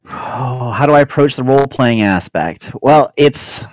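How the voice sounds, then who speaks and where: A man's voice: mid-range voice, lively intonation, a little slow, no echo. A man talking as a podcast guest, explaining things casually and correcting himself as he goes, on slightly lo-fi audio. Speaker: podcast guest, flight-sim air traffic controller and trainer.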